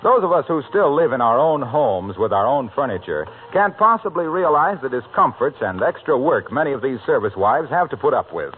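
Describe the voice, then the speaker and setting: A man's voice: mid-range voice, very animated, brisk, few pauses, slightly rough. An American man announcing and reading commercials in polished, emphatic 1940s radio style. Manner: polished, emphatic salesman delivery. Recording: narrow, slightly noisy old radio broadcast.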